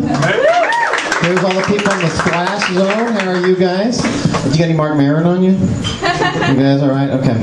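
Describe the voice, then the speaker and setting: A middle-aged man performing live stand-up comedy in a self-deprecating, mock-exasperated manner. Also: fairly high pitch. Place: small comedy club stage with audience. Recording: echoey comedy club, loud audience noise. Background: loud audience laughter and noise.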